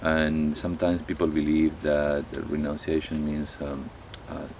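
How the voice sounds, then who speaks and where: A middle-aged monk with an Argentine Spanish accent speaks in an extremely deep voice with a gravelly rasp. He talks reflectively and haltingly, often stopping to find the next word. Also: monotone, darkish tone, slight background noise. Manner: halting and thoughtful, pausing often to search for words. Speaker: monk, abbot of a monastery. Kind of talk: reflective spoken talk to camera.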